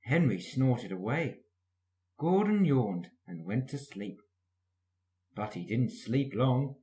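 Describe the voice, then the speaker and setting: A man's voice: medium pitch, very animated, takes it slowly, warm tone. A man reading a scripted children's story as the narrator. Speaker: storybook reader. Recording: echoey room.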